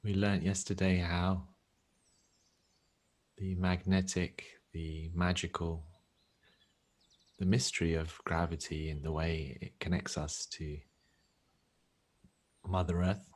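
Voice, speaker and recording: A man with a deep voice and a husky grain leads a guided meditation. He speaks gently and takes his time, leaving long pauses between phrases as a teacher would. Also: little pitch movement.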